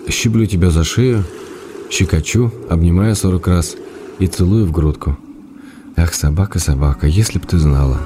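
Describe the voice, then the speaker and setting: Actor as low-voiced ironic, tender writer husband. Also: male voice, normal speed, noisy background, natural voice. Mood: tender, longing. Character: ailing middle-aged male writer, playful, tender, ironic husband. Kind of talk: radio drama reading of personal letters. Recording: music playing behind the voice.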